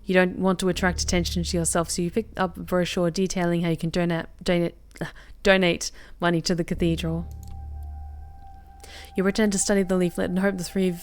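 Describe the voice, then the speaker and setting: Lower-voiced woman reading a game aloud. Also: a little fast, soft background music. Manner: expressive, flips into bursts of excited loud commentary. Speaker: streamer reading a visual novel aloud. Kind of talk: game narration with live reactions.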